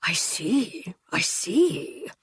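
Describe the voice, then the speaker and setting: Brief: woman voicing a blustering upper-class captain, animated delivery, dry close audiobook sound. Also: high-pitched, very slow, husky, dry recording, heavily acted. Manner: pompous, blustering. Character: pompous breezy upper-class male army captain.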